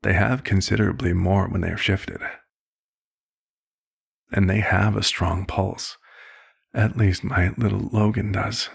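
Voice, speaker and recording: A man playing an old, compassionate vampire doctor in an ASMR roleplay, with a husky, gravelly voice and a measured, slightly formal, paternal manner. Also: deep voice, flat delivery, exaggerated voice. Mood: earnest, soothing.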